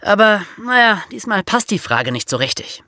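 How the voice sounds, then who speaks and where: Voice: mid-range voice, big pitch swings, moderate pace, bright, forceful tone, no echo, studio quality. A podcast co-host chatting casually, with short colloquial reactions and questions. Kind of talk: casual chat.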